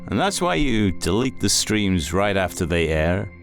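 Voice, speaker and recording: Man playing a lively, expressive show host. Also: low voice, measured pace, over music, full character voice. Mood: curious, upbeat. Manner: conversational, expressive. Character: male talk show host, chatty, growing nervous and disbelieving. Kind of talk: horror audio story acting.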